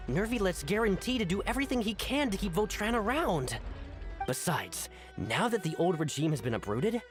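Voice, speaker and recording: Scheming female citizen in a game, indignant, persuasive, swooping intonation, soft music. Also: lowish voice. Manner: emphatic, persuasive. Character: calculating, indignant female citizen, pleading conspirator. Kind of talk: dramatic video game voice acting.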